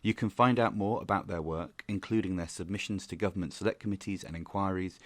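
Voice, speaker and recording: Deep-voiced man hosting, halting. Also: steady tone, dry recording. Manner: hesitant, halting phrasing. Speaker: host. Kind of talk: interview and podcast outro.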